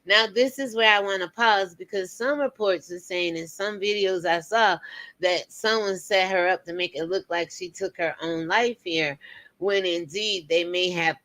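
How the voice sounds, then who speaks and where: A woman's voice: lowish voice, moderate pace, a bit bright. A woman in her fifties hosting a casual commentary show, chatting to viewers with preachy asides. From United States. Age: 50s.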